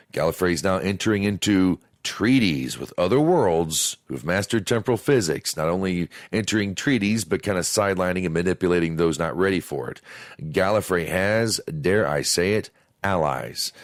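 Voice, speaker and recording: Deep-voiced man narrating a review. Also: no room sound, clean studio sound. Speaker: audio drama reviewer.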